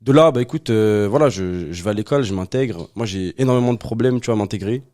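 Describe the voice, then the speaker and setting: A man in his twenties, originally from Algeria, telling his life story as a podcast guest in relaxed chat full of fillers. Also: on the low side, quick pace. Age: 20s. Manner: relaxed, many fillers and restarts. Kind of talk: casual conversation.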